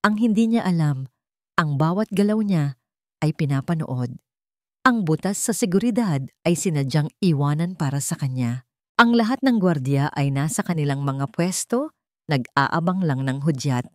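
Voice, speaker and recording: A woman's voice: low voice, lively intonation, normal speed, darkish tone, dry recording, studio quality. A woman with a Filipino accent reading a dramatic story as a scripted narrator.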